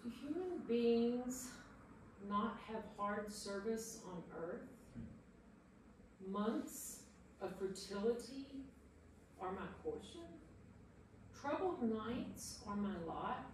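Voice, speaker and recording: Woman reading as a despairing sufferer, slow solemn scripture drama, very echoey and far from the mic. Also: a little high, animated, darkish tone. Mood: anguished pleading. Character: anguished sufferer, despairing man crying out against God. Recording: echoey room from far off, faint noise.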